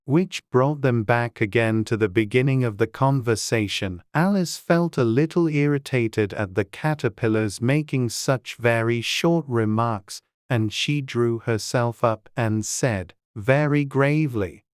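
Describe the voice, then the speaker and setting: A man's voice: medium pitch, measured pace, hardly any pauses, smooth, dry recording. A man reading scripted audiobook narration as the storyteller, close to the microphone.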